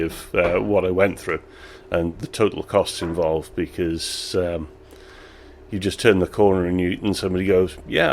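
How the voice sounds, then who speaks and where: A man who owns a small brewery, chatting in an interview with a relaxed, self-deprecating manner and frequent laughter. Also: low-pitched, gravelly, a little hiss or hum. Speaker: brewery owner. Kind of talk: casual interview chat.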